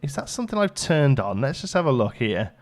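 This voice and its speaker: A man hosts a gaming video in a mid-pitched voice. He talks casually and keeps thinking aloud, commenting on each step as a chatty let's play host. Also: very animated, brisk.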